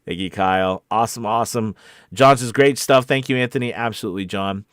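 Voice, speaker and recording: A man's voice: fairly low pitch, fairly quick, a bit bright, no room sound, studio quality. An American man hosting a casual livestream as a voice-over coach, chatting with viewers in an upbeat, encouraging, informal way and often repeating phrases for emphasis. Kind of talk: casual livestream chat, reading and answering viewer comments.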